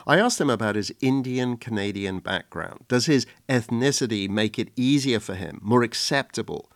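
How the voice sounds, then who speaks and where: A male radio host asking questions in a measured, thoughtful way. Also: medium pitch, lively intonation. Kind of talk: radio interview.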